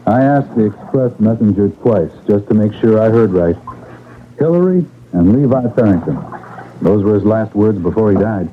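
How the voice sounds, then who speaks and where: An actor playing a hearty, assured detective on a manhunt in an old-time radio drama. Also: male voice, lowish voice, lightly acted. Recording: dull narrow radio recording, faint noise.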